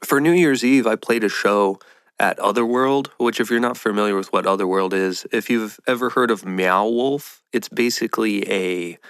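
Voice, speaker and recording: A man's voice: lowish voice, normal speed, a bit bright, smooth, no echo. A man hosting a solo podcast in casual, informal chat, sounding nasal and congested from a head cold. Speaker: podcast host.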